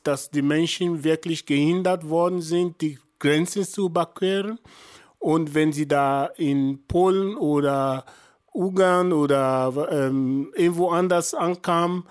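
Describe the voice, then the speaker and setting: Man in his fifties from Nigeria, podcast guest; slow, hesitant delivery. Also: fairly high pitch, darkish tone. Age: 50s. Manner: halting, many fillers and restarts. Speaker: guest, journalist and activist. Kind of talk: podcast interview answers.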